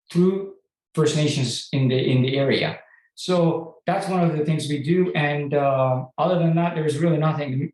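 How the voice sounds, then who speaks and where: A man's voice: a little high, darkish tone. A man from Turkey, a company CEO answering conference questions in a hesitant, explanatory way with many restarts. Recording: echoey room.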